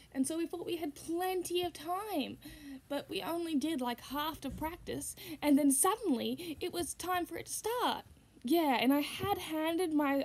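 A woman's voice: high-pitched, a little mellow. A young Australian woman chatting casually to a close camera in a small room, rambling with many fillers. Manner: rambling, full of fillers and laughs. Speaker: vlogger and puppeteer. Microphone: device camera held close.

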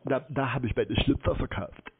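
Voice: medium pitch, moderate pace, breathy edge. A person on a phone call playing naive and answering with questions. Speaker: prank call recipient playing along. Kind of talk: phone conversation. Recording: noisy, tinny phone-like sound.

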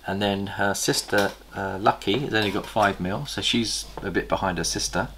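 Brief: middle-aged man, low gravelly voice, enthusiastic breeder chatting to camera, faint room noise. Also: steady tone, a bit bright. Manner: chatty, enthusiastic, pauses to recall details. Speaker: snake breeder host. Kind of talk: casual show-and-tell vlog. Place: indoor reptile room lined with tubs. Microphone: camera across a table.

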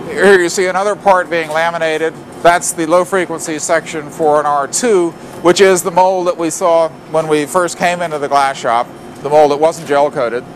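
Male factory guide, slightly high voice, plain explanatory delivery, recorded on a loud shop floor. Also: steady tone, normal speed, low-quality recording. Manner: plain explanatory, with frequent fillers and restarts. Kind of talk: walking tour narration. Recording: factory noise behind voice.

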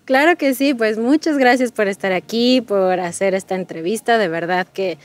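A woman's voice: medium pitch, normal speed. Woman podcast host, encouraging, lots of affirming murmurs. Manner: encouraging, frequent affirming "ujú". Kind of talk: interview questions.

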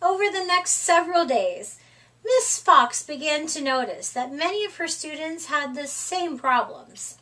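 A middle-aged woman reading a children's picture book aloud in an expressive, deliberate storytelling manner. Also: sits high. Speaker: storytime reader. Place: small room at home, indoors. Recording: slightly distant microphone.

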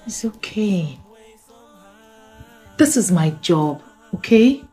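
A woman's voice: mid-range voice, very animated, slow, mellow, breathy edge, soft background music, full character voice. An actress playing a composed assistant who reassures her boss she will handle the problem. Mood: reassuring. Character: calm capable female assistant, reassuring.

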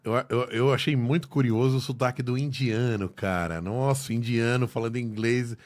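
A man's voice: medium pitch, moderate pace. A Brazilian man hosting a podcast, chatting in a playful, joking way. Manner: playful, jokey, lively intonation. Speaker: podcast host. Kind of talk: podcast conversation.

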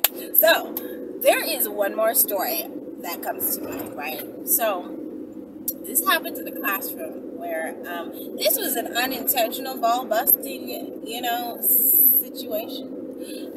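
A woman in her thirties chats informally to her viewers in a slightly high voice, telling stories in an animated way. She is recorded inside a car, a little away from the microphone, with very loud road noise around her. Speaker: online video host. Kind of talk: casual storytelling chat to viewers. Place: inside a small car, driving. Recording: noisy car interior, distant microphone. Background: loud vehicle and road noise.

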